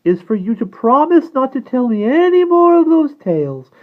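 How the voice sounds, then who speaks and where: A man voicing a cruel, desperate vampire dark angel in a dramatic story reading, shrill and frantic. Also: big pitch swings, normal speed, narrow, tinny audio. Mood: coaxing, frustrated. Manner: shouting, shrill, wildly swinging between coaxing and frantic commands. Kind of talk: dramatic audiobook-style character reading.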